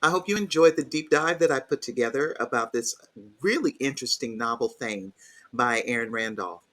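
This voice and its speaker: Casual man with a mid-pitched voice. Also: animated, moderate pace, a bit bright. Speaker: podcast creator. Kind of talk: casual spoken outro.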